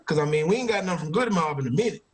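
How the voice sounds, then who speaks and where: Male podcast host, high voice with big melodic swings, loose slangy chat, swears freely. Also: animated, very fast, a crowd in the background. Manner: slangy, profane banter.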